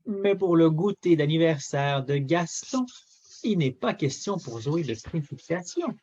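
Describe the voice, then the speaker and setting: A man reading a children's story aloud in an expressive, theatrical way with emphatic phrasing. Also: fairly high pitch, a little slow, husky, muffled recording. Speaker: storybook reader.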